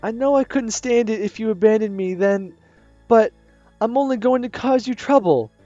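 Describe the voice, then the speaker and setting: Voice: mid-range voice, moderate pace, mellow, no echo, muffled recording, faint music behind, voice pushed up, natural voice. A gamer reading a visual novel aloud, voicing a shy teenage schoolgirl who pleads with a wavering voice. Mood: tender.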